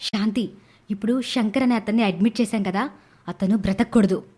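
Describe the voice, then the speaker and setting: A woman voicing a scheming, desperate young woman in an animated moral story, with a dramatic, urgent delivery. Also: medium pitch, normal speed, breathy edge, natural voice. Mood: cold scheming.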